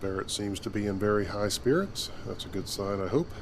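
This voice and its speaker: An earnest, altruistic male starship captain acted by a man in a game story. His low voice is measured, and clear background noise runs under it. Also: lightly acted. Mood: dry, relieved. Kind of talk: scripted game dialogue.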